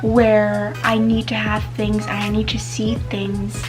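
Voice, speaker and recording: A woman's voice: mid-range voice, monotone, measured pace, music in the background, poor audio. A teenage girl vlogging casually to camera, chatty and full of fillers, whose first language is Spanish. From United States. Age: teens.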